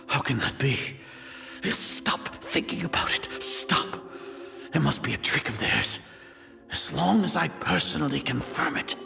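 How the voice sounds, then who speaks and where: An imperious video game sage, gravelly and commanding. His pitch swings widely as he broods and barks in suspicion, with an unnerved edge under the authority. Music plays behind him. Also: full character voice. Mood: shaken, disbelieving. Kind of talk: dramatic video game voice acting.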